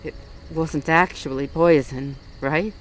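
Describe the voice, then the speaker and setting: A man playing a wary fae guard of the Autumn Court, stern but soft-hearted, in a roleplay audio drama spoken straight to the listener in an accusing, wry manner. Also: a little high, fairly even intonation, slow, faint music behind, rough recording. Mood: worried.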